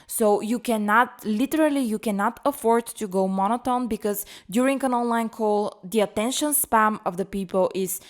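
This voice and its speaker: A woman's voice: on the high side, moderate pace, hardly any pauses. A woman co-hosting a podcast, explaining presentation tips in a careful conversational way. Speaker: podcast co-host, presentation coach.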